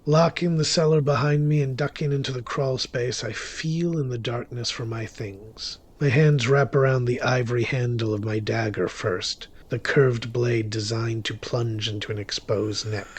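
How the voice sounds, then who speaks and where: An actor playing a wry, secretive male witch who narrates a horror-thriller audio drama in a theatrical, deliberate manner. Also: mid-range voice, fairly even intonation, a little hiss or hum, close to an everyday voice. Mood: hushed, relishing. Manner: wry, theatrical, deliberate, with sudden swells and drops. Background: music under the voice.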